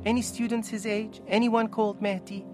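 A man's voice: high-pitched, little pitch movement, normal speed, over music, voice pushed up, close to an everyday voice. A man reading aloud as a desperate, imploring mother searching for her missing son, in an urgent manner. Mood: anxious pleading.

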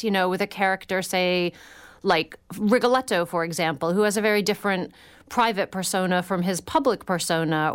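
A woman's voice: lowish voice, smooth. A woman guest on a radio call-in show, speaking thoughtfully and enthusiastically about opera, with frequent fillers.

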